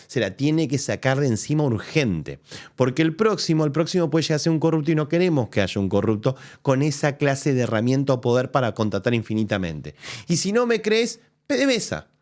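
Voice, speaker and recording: A man's voice: mid-range voice, fairly quick. A man with an Argentine accent hosting a live show, arguing his points in casual commentary with frequent restarts. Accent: Argentine Spanish. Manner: animated, argumentative, thinks aloud with restarts. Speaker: political commentator host. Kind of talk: casual commentary reacting to a press conference.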